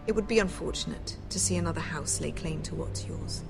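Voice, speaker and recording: An actress playing an icy, menacing queen in a game drama, imperious and cutting, speaking with deliberate weight. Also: deep voice, vocal fry, loud music behind the voice. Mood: veiled threat. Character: haughty, icy, menacing queen in middle adulthood.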